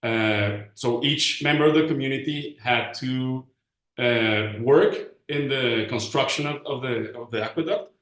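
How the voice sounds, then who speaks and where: A man giving an informal presentation, pausing often as he searches for English words. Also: medium pitch, a bit bright. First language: Spanish. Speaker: panelist presenting community research. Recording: roomy, echoing sound.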